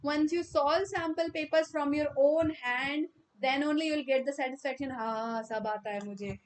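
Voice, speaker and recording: A young woman with an Indian English accent teaching maths in a live online class, loud and chatty, reading out students' messages and slipping into Hindi. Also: sits high, quick pace, slight background noise.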